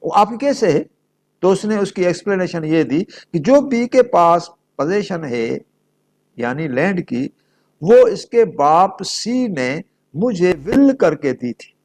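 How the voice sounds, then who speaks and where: Pakistani guy teaching law, pretty high voice, slow and deliberate, keeps asking then answering his own questions. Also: big pitch swings, a little mellow. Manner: didactic, switches between Urdu and English, poses questions and answers them. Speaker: law lecturer. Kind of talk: explanatory lecture with worked examples.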